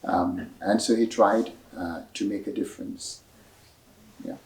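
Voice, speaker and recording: A man giving measured, explanatory answers at a library book talk, pausing often with fillers. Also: fairly low pitch, steady tone, vocal fry, a little mellow. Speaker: author and speaker at a book talk. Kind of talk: answering audience questions. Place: library event room. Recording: echoey room, voice from a distance.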